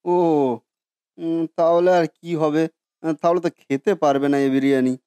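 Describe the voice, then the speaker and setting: A man's voice: on the high side, fairly even intonation, normal speed, mellow, clean tone, no room sound, studio quality, close to an everyday voice. A man voicing a good-natured, modest food seller who talks earnestly and hesitantly in a cartoon story. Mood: doubtful. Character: humble, good-natured biryani seller and husband. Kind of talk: Bengali cartoon story voice acting.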